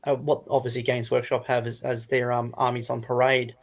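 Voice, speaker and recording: A man's voice: medium pitch, level tone, fairly quick. A man, a hobby retailer, chatting casually as a guest.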